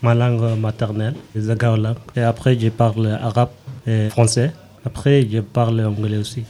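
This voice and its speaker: A man from Sudan, whose first language is Zaghawa, speaking in hesitant, halting learner French. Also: on the low side, flat delivery, mellow.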